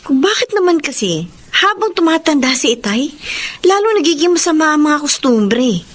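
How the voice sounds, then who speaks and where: A woman playing a gentle, dutiful young daughter in a radio drama, earnest and soft-hearted. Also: high voice, sing-song, normal speed. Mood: weary, troubled. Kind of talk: Tagalog radio drama acting.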